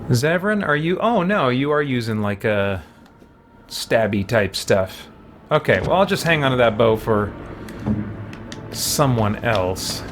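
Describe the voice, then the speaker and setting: A man's voice: mid-range voice, sing-song, measured pace, noisy background. A man chatting casually as he streams a game, rambling and thinking aloud as he plays. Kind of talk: casual live-stream chat and game commentary. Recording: music playing behind the voice. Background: game music.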